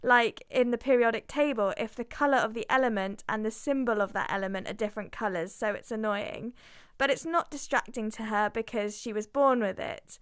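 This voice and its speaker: A woman's voice: on the high side, a little fast, fairly bright tone, smooth, no echo, studio quality. Chatty young woman hosting a radio show. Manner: chatty, filler-heavy, laughs through mishaps. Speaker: student radio host. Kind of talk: casual radio presenting.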